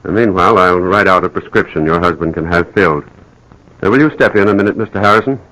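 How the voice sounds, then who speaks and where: A very low-pitched, grave family doctor in an old radio drama. He is polite and measured, choosing his words with some hesitation. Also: sharp tone, rough recording. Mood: courteous. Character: grave, courteous male physician breaking bad news. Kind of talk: 1940s radio drama acting.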